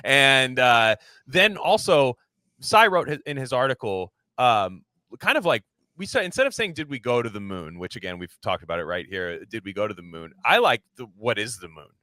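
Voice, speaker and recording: A man's voice: mid-range voice, sing-song, normal speed, pushed and bright, no room sound. A man hosting a casual podcast, rambling through his opinions and repeating himself for emphasis. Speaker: podcast host.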